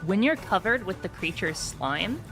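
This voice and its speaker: A woman with a bright voice acting as game master, explaining the action and rules of a tabletop roleplaying game. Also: mid-range voice, very animated, moderate pace. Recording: background music under the voice.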